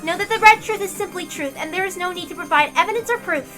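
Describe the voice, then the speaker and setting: A woman's voice: very high voice, fast. A woman voicing a stern, formal inquisitor who recites absolute laws in a dramatic visual novel read-aloud. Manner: formal, declarative, unyielding. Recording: loud music behind the voice.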